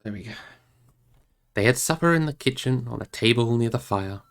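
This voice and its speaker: A man reading a fantasy novel aloud as expressive storybook narration. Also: mid-range voice, normal speed, vocal fry. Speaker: storybook reader, streamer. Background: music.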